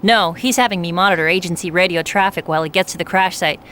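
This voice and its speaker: Actress as worried radio support agent lady, bright sharp voice, slight noise, game cutscene. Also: on the low side, fast, lightly acted. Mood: informative. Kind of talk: video game cutscene acting.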